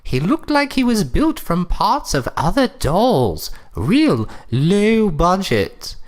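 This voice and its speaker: A woman's voice: on the low side, big pitch swings, slow pace. A woman playing a snooty, fussy older wife in a comic story reading, with a prim, theatrical, condescending delivery.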